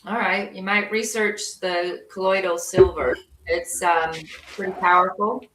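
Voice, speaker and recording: A woman's voice: lowish voice, steady tone, unhurried, pushed and bright, slight background noise. A woman co-hosting a casual opinion talk show, blunt and salty in her remarks. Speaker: co-host. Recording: echoey room, voice far from mic.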